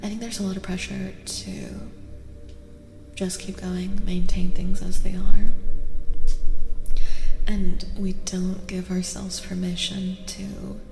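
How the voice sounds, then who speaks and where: A woman streamer giving a soft-spoken ASMR tarot reading, often whispering, unhurried and soothingly repeating her phrases. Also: mid-range voice, level tone, gravelly. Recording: echoey long reverb, music behind. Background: music.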